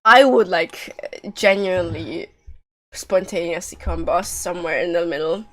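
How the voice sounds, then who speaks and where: A woman's voice: on the low side, unhurried, fairly bright tone. A woman giving casual, joking and sarcastic livestream commentary as she plays a video game.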